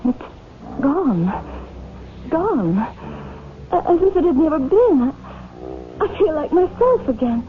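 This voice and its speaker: Troubled woman in an old radio play, highish voice, halting, muffled old broadcast sound. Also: big pitch swings, slight background noise, exaggerated voice. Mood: amazed, relieved. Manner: slow, halting, dramatic. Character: troubled woman, weary, searching, newly relieved of torment. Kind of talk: radio drama acting.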